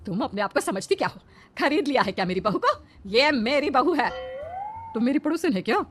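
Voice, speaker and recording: A bossy older mother-in-law from a cartoon, played by a woman, clingy and quick to quarrel. Her voice is fairly high, with music underneath. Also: very animated, a bit bright, heavily acted. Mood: indignant. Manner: bossy, cajoling.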